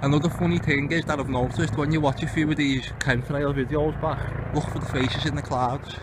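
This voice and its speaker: A man's voice: fairly high pitch, fairly even intonation, a little fast. A man giving casual, rambling, conspiratorial commentary as an amateur video host. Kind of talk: casual off-the-cuff vlog commentary. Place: outdoors in the city. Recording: very noisy outdoor recording, wind, traffic. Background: loud wind and vehicle noise.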